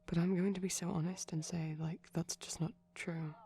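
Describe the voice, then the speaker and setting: A man speaking softly in a chatty, rambling ASMR style, often dropping to a whisper, digressive and tentative. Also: a little high, flat delivery, moderate pace, creaky. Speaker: ASMR performer. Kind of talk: soft-spoken rambling ASMR commentary on a film. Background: TV film audio playing in background.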